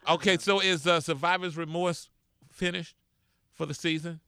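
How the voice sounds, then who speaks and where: A man's voice: a little high, steady tone, normal speed, vocal fry, bright, forceful tone, clean tone, no room sound, clean studio sound. A man hosting a radio interview, playful and teasing, laughing often. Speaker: radio host.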